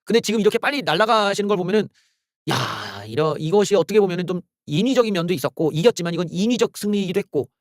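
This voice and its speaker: A male YouTube host delivers a heated, sarcastic political rant, cursing and exclaiming as he goes. His voice sits high and swings widely in pitch. The sound is dry, with no room echo. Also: expressive, quick pace. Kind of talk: solo political commentary rant.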